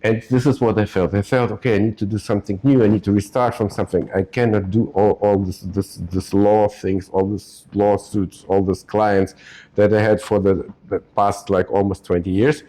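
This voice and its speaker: A Ukrainian-born man, an investor and lawyer, answering interview questions in an office with a halting delivery full of restarts. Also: on the low side, level tone, warm tone, low-quality recording. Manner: halting, many restarts and fillers. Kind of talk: casual podcast interview.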